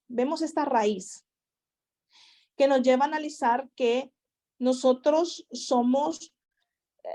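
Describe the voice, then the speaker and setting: A woman's voice: fairly high pitch, a little mellow. A woman teaching a sermon over an internet call, repeating phrases and exhorting her listeners. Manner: slow, repetitive preaching with exhortations. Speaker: Bible teacher. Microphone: internet call connection.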